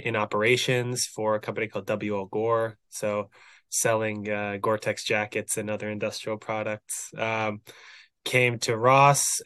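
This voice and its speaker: A man's voice: lowish voice, fairly bright tone, clear, resonant tone, dry recording. A young man from New Jersey, a podcast guest talking casually about himself, friendly with some ums. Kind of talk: casual interview chat.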